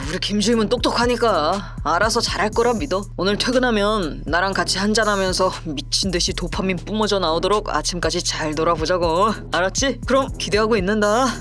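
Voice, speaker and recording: A woman's voice: medium pitch, a little fast, few pauses, close to an everyday voice. A woman playing a smug, scheming deputy manager in a Korean audio drama, with an oily, condescending manner. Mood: smug, menacing. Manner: oily, self-satisfied, condescending. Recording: background music under the voice.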